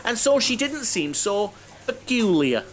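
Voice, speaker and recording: An actor playing a naive, lonely bachelor who rambles and overshares in an audio comedy. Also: male voice, high-pitched, very animated, a little slow, slight background noise. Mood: reminiscing, rambling. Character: chatty, naive, lonely middle-aged bachelor, mother-obsessed coach passenger. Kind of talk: audio drama comedy acting.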